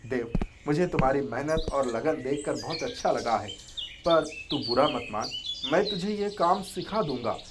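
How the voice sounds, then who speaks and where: A kindly elder-brother farmer from a moral tale, played by an actor. He advises gently and patiently in a measured, quieter voice, with faint birdsong behind. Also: medium pitch, animated, noisy background, close to an everyday voice. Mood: calm, patient.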